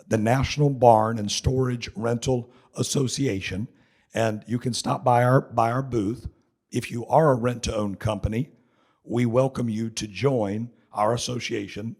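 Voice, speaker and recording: A middle-aged man from North Carolina, giving a joking, chatty seminar talk with a raspy voice hoarse from laryngitis. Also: mid-range voice, a little mellow. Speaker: seminar speaker. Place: conference hall. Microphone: handheld mic.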